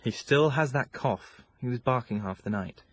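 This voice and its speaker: A man's voice: medium pitch, normal speed, husky, no echo, close to an everyday voice. A man playing a terse, melancholy young soldier in a period radio drama. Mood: weary. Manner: terse, gloomy.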